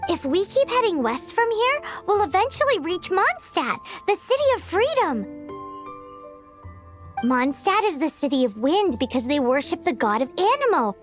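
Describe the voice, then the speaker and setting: A chirpy little fairy guide, squeaky and extremely high. Her delivery swings up and down in a cartoonish game style, eager and helpful, with faint music behind. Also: very animated, normal speed. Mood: excited, encouraging. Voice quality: squeaky, childlike. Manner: perky, refers to herself in third person. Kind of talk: cartoonish video game voice acting.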